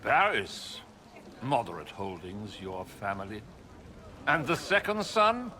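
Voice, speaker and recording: A man's voice: on the low side, wildly swooping pitch, takes it slowly, pushed and bright, heavily acted. An actor playing a haughty, impatient nobleman, pompous and condescending, in a theatrical video game cutscene.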